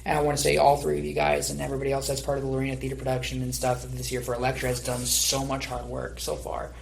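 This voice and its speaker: A young man in his late teens hosts a casual interview show. His voice has noticeable fry, and he rambles along in an encouraging tone. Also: mid-range voice, monotone, fast talker, slight background noise. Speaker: podcast host.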